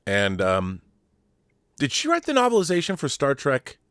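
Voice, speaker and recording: A man's voice: mid-range voice, wildly swooping pitch, moderate pace, a bit bright, clean tone, no echo. Theatrical man hosting, close dry sound. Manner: theatrical, grandiose, playful. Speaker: host of a pop culture show. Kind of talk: showy scripted-sounding intro and casual fan discussion.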